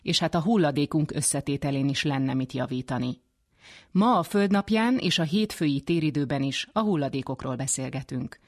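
A woman's voice: low-pitched, expressive, darkish tone. A woman presenting a scripted radio magazine as its editor and host.

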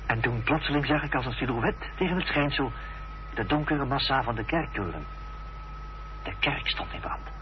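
Radio drama lead: actor as earnest gentleman narrator, deliberate suspenseful delivery, dull vintage sound. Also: medium pitch, animated, background noise, close to an everyday voice. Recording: dull, faintly humming old radio recording.

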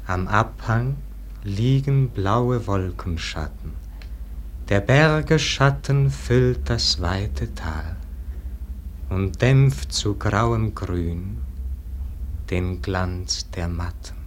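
A man's voice: fairly low pitch, lively intonation, slight background noise. An actor playing a melancholy, yearning nobleman in a classic radio verse drama, declaiming lyrically in standard German stage diction. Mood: hushed reverie. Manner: solemn, lyrical, drawn-out declamation.

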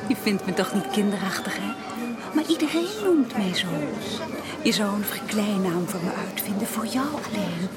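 A woman playing a coquettish, high-strung young society woman in a period radio drama, with a playful, affected manner. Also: animated, normal speed, over loud music, lightly acted. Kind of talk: Dutch radio drama acting.

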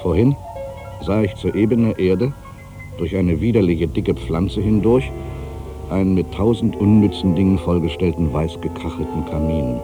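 Casting: aging writer, extremely low voice, brooding and theatrical, literary audio monologue over clearly present music. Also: male voice. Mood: observant, narrating. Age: older. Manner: theatrical, introspective, swinging between hushed and emphatic delivery. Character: aging male writer, world-weary, anxious, brooding, given to grandiose fancies.

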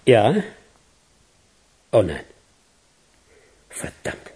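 A man's voice: on the low side, very animated, extremely slow, mellow, husky, heavily acted. A man playing a rattled, contemptuous crook who curses in a radio crime drama. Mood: angry, rattled.

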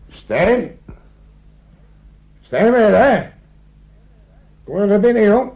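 An actor playing a worried, plain-spoken older man in a radio drama, gravelly and husky, with a blunt colloquial way of talking. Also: high voice, very animated, takes it slowly, heavily acted. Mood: fatherly, serious. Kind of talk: naturalistic radio play acting. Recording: muffled old radio play recording.

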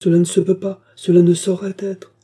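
A man's voice: high voice, flat delivery, brisk, warm tone, husky, pitched above the performer's own voice. A man voicing a devoted, fussy fifty-year-old maidservant from a storybook, exclaiming and scolding with deference. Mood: indignant. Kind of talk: audiobook character dialogue.

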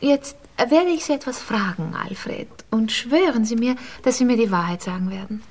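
Hesitant Austrian lady, fairly high voice. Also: expressive, moderate pace. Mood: ashamed, anxious. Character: anxious, coy married Viennese lady having a secret affair. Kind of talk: radio drama acting.

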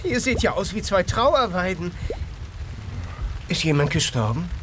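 A man's voice: sits high, very animated, measured pace, noisy background. A man voicing a chatty, cocky fibber in a children's radio drama, glib and showy in delivery. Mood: flustered, concerned.

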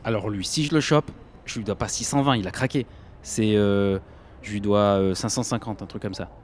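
A man's voice: on the low side, moderate pace, slight background noise, close to an everyday voice. A man playing a cheerful young factory worker, chatting in loose slang in an audio drama. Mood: defensive. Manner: casual, slangy.

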